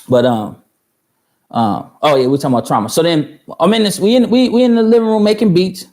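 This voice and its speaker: A man's voice: high voice, a little fast, a little mellow. A man in his thirties telling a long story on a livestream for music producers, rambling and swearing, with many fillers and restarts. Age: 30s. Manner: answers viewer chat mid-story.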